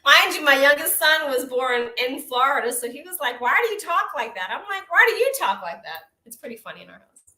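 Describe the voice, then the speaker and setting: Brooklyn woman with bright, ringing voice. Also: high voice, lively intonation, brisk, roomy sound. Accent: Brooklyn New York. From Brooklyn, New York. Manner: chatty, warm greetings to viewers, frequent hearty laughs. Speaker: oracle card reader hosting a live stream. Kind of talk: casual live-stream chat and card reading.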